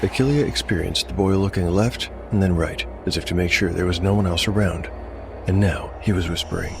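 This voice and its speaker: A man narrating a scripted dark fantasy tale as game master, with measured storytelling delivery. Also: sits low, vocal fry, background noise. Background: music.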